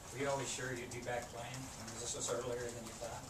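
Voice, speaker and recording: A male sports reporter asks questions at a press conference. His delivery is flat and medium in pitch. The distant voice sits in loud noise. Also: quick pace. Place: indoor press conference room. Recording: very noisy, echoey, distant pickup.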